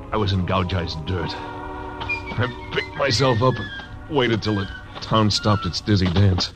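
A man narrating and playing a terse, hard-boiled frontier marshal in a 1940s radio drama. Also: lowish voice. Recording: dull old radio broadcast over music.

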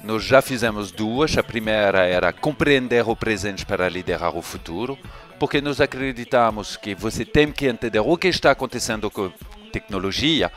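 A man from France with a French accent, a guest explaining his views in an interview in an unhurried way. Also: lowish voice, animated, a bit bright, soft background music. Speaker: guest, event curator. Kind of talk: podcast interview.

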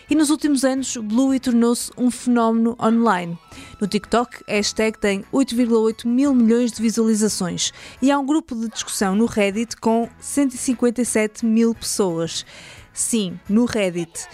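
A woman's voice: on the high side, darkish tone. A woman with a European Portuguese accent hosting a podcast, reading a scripted documentary narration. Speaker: podcast host.

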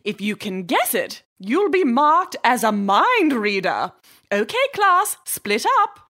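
A woman playing cheerful, encouraging characters in a children's audio story, in a very expressive manner. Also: a little high, slow pace, full character voice. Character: cheerful, expressive young girl and encouraging schoolteacher.

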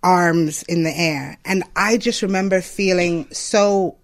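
A person in their late thirties from Zambia, speaking as an interview guest in an earnest, thoughtful way, often pausing and restarting. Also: medium pitch. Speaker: interview guest, foundation representative. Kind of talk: reflective conversational interview.

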